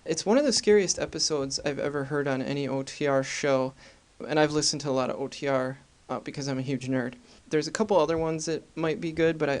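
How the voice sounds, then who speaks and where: A man with a fairly high, clean voice hosts a casual podcast. He introduces the episode informally, with a joking, self-deprecating manner. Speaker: podcast host.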